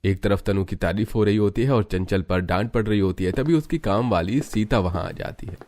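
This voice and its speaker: A man's voice: lowish voice, a little fast, a little mellow. A man narrating a scripted cartoon family story.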